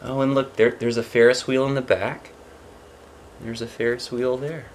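A man's voice: mid-range voice, flat delivery, normal speed. A man in his forties hosting a read-aloud storytime, chatting conversationally and putting questions to young listeners. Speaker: host reading a picture book aloud. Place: small indoor room, seated before a plain wall. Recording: clear background room noise.

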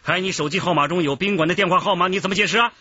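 A man's voice: high voice, very fast, sharp tone, no echo, highs cut off. A man acting in a radio drama as a stern police interrogator, insistent and official. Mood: stern, accusing.

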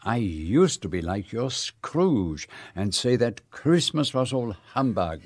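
A man's voice: mid-range voice, big pitch swings, slow, a little mellow. A man playing a precise, formal Belgian detective in a radio play. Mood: wry. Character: fussy Belgian detective, middle-aged man. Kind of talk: radio drama acting.

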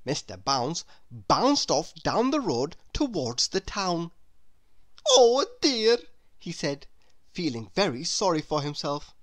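A woman reading a children's story aloud in an expressive, deliberate way. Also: low-pitched. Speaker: storybook reader. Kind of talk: scripted children's story narration.

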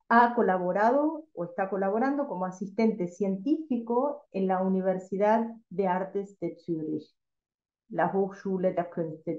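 A woman hosting a seminar, steadily reading a formal introduction of the speaker over a video call. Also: medium pitch. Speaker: host. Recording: roomy, dull video call audio.

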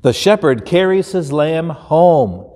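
A man in his fifties with an American accent, a pastor reading a children's story aloud in an expressive, deliberate way. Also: a little high. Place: large indoor church stage. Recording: roomy hall with long reverb.

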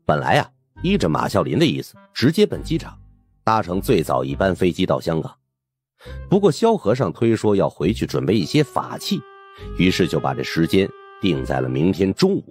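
A man's voice: low voice, huge pitch swings, moderate pace, darkish tone, soft background music. A man narrating an audiobook as dramatic, scripted storytelling.

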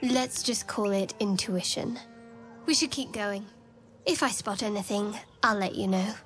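A woman's voice: medium pitch, measured pace, soft background music, lightly acted. A woman playing a secretive, cool young adventurer in a video game, speaking in a dry, guarded way. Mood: guarded, thoughtful. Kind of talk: video game dramatic acting.

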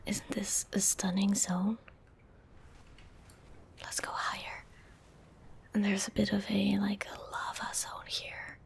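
A young woman doing soft-spoken ASMR gameplay commentary, gentle and breathy, close on a studio microphone. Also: mid-range voice, little pitch movement. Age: young adult. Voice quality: breathy, whispered. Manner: gentle, unhurried, casual. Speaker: ASMR game streamer. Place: indoor streaming room.

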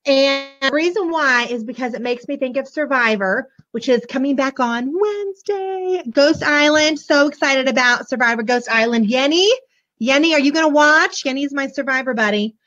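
A hoarse woman in her forties, chatting bubbly and gushing to viewers as she shows a children's book on a webcam. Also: sits high, normal speed, a bit bright. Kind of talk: casual live book show-and-tell. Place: small room indoors, decorated backdrop. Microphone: webcam at desk. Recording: dull lo-fi webcam audio.

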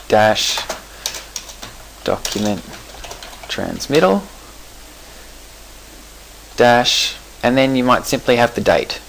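A man talking through a software settings screen in a relaxed, explanatory way, pausing with fillers and correcting himself. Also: fairly low pitch, gravelly, a little hiss or hum, poor audio. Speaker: software tutorial presenter.

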